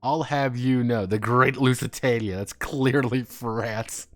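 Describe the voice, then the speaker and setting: A man's voice: medium pitch, fairly even intonation, takes it slowly, a bit bright, faint music behind. A man live streaming as a game designer, rambling casually between reading draft text aloud and making chuckling asides.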